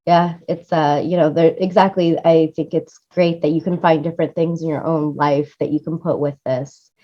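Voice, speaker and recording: Low-voiced enthusiastic woman, dull lo-fi audio. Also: fairly even intonation, normal speed. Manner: enthusiastic, effusive. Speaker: interview guest, radio play producer and music supervisor. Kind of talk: conversational interview talk.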